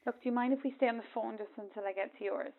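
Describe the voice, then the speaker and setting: Woman acting jumpy irish girl on a tinny phone line, highish voice, hesitant, keeps saying sorry, radio play. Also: racing. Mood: uneasy. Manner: nervous, hesitant, apologetic. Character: uneasy, apologetic young woman walking alone at night. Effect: phone call voice. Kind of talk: naturalistic radio drama acting.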